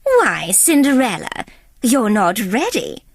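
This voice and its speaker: A woman's voice: fairly high pitch, wildly swooping pitch, takes it slowly, no echo, full character voice. A woman voicing a haughty, cruel middle-aged storybook stepmother with condescending, falsely polite phrasing. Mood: smug.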